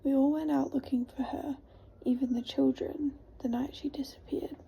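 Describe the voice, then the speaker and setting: A woman softly whispering the lines of a villager in a storybook reading. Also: sits high, fairly even intonation, moderate pace, gravelly, dull audio. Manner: whispered, soft.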